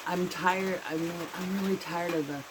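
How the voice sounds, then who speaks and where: Woman vlogger, casual rambling porch chat, repeats herself, loud rain on a tin roof behind. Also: on the low side, fairly even intonation, unhurried, noisy background. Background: heavy rain.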